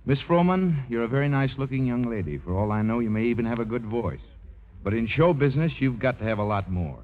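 A man's voice: mid-range voice, animated, fast, narrow, tinny audio, lightly acted. An actor playing a wry, self-assured male studio pianist with a dry, blunt manner in a 1950s radio drama. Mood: blunt, coaching. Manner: dry, hesitating, then lecturing. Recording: thin 1950s radio broadcast, faint music behind.

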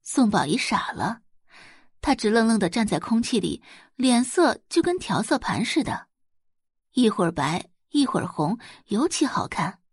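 A female narrator delivers dramatic scripted fiction with very lively intonation. Her voice is slightly husky and of medium pitch, recorded dry and close. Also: unhurried, dry recording.

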